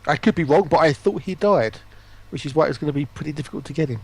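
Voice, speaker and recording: A man's voice: a little high, quick pace, creaky, a little mellow, breathy edge. A man talking casually as he hosts a livestream.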